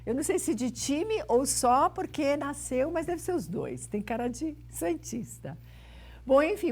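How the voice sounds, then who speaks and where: A woman hosts a talk show, chatting and interviewing in an informal, rambling way with familiar forms of address. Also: fairly high pitch.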